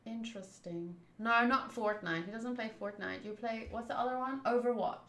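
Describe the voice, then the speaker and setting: A woman's voice: a little high, normal speed, fairly bright tone, reverberant. A woman hosting a game live stream, chatting casually in a playful, teasing and informal way. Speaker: live streamer hosting a visual novel playthrough. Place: indoors, home room.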